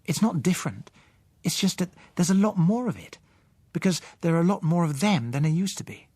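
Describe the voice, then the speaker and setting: A man voicing a thoughtful, slightly uneasy wife in an audiobook story, reasoning with gentle concern. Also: on the high side, lively intonation, a little fast, dry recording, voice pushed up. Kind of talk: audiobook character acting.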